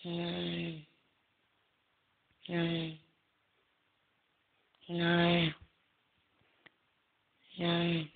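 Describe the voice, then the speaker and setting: A young woman casually playing a guessing game on her channel, soft-spoken and answering in short words. Her voice is breathy and low-pitched. It comes through a tinny, phone-like recording. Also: completely flat delivery, extremely slow, long pauses. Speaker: gaming channel host. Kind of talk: casual gameplay commentary.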